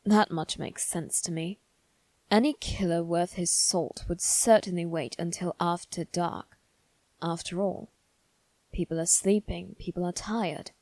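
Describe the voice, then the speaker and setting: A woman playing a proud, analytical young aristocratic boy detective in an ASMR roleplay, musing aloud in measured, formal phrases with deliberate pauses. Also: fairly low pitch, darkish tone, no room sound. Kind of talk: ASMR roleplay, scripted dramatic monologue.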